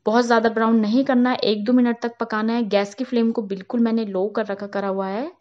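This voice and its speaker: A woman with a fairly high voice narrates a home cooking tutorial, explaining each step in a calm, instructional way. The voice-over sounds a little dull and lo-fi. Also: a little fast. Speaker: cooking channel host. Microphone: voice-over, not on camera.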